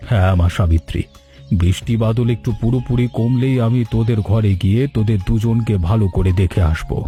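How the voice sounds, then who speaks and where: Man playing mellow-pitched, earnest old village father. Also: a little fast, soft background music, lightly acted. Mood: grateful, fond. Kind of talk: cartoon story dialogue.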